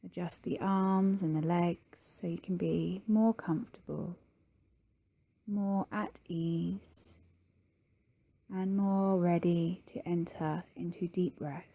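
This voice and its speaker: Female meditation guide, very unhurried, warm relaxed tone, soft delivery with long pauses. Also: fairly low pitch, creaky, no echo. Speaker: yoga nidra guide.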